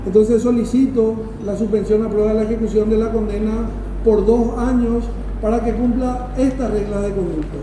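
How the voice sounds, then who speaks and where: High-voiced Paraguayan male law professor lecturing. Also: steady tone, moderate pace, recorded from a distance. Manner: didactic, emphatic repetition, checks understanding. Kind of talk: classroom lecture with questions to students. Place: classroom. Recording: echoey room from afar, noisy.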